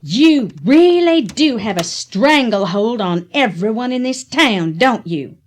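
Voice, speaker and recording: A woman's voice: fairly high pitch, animated, takes it slowly. An actress playing an angry, defiant woman in an audio drama, with an accusing, bitter delivery.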